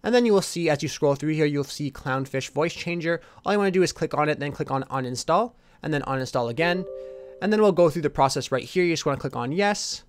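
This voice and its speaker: A man's voice: fairly high pitch, lively intonation, fast talker, soft background music. A man narrating a casual step-by-step computer tutorial as its host.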